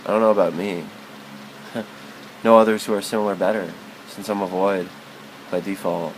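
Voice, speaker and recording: A man giving a literary spoken-word recitation in a theatrical, halting, wordy way, stumbling and repeating himself. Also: sits low, steady tone, a little hiss or hum, poor audio.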